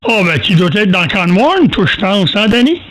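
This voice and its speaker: An older man with a Quebec French accent and a notably high voice for a man. He rambles casually through ham radio anecdotes, halting and folksy, with some background noise on the recording. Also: big pitch swings, a bit bright, low-quality recording. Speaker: amateur radio operator.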